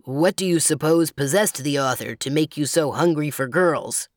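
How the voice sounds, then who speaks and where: A woman's voice: low-pitched, normal speed, dry recording, clean studio sound, natural voice. A woman voicing a mournful, complaining donkey in a storybook tale. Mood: dismayed.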